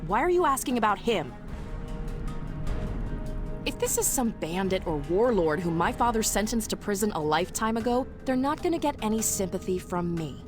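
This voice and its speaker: An actress playing a guarded, defiant reformed outlaw in a video game, with a sassy, blunt manner. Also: female voice, mid-range voice, lightly acted. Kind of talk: video game cutscene voice acting. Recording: music clearly behind the voice.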